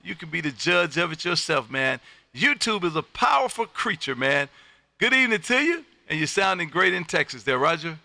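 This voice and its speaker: A man's voice: on the high side, sing-song, moderate pace, gravelly, sharp tone, slight background noise. An American man hosting an amateur radio livestream, chatting casually in a folksy, effusive way. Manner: folksy, effusive, peppers talk with friendly address. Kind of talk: casual ham radio chat.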